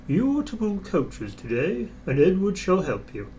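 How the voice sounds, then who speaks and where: A man's voice: sits high, wildly swooping pitch, moderate pace, mellow, a little hiss or hum, pitched above the performer's own voice. A man voicing a firm but kindly male railway boss in a children's storybook. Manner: stern, firm.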